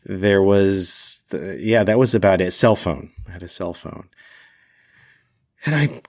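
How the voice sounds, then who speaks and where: A man hosting a storytelling podcast, speaking candidly and wryly with deliberate, dramatic pauses. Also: low-pitched, darkish tone. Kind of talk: personal storytelling.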